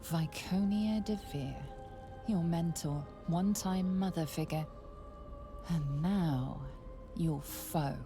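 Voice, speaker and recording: A woman playing the ominous storyteller of a fantasy game, narrating in a hushed, measured, portentous manner. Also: on the low side, over music. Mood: grave, ominous. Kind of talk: scripted dramatic narration.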